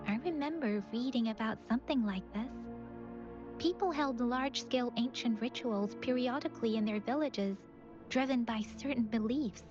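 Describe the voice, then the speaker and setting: An actress playing an earnest, thoughtful young woman investigating mysteries in a video game, speaking in a measured, serious way. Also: a little high, close to an everyday voice. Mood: pensive, explaining. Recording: muffled game capture under loud music.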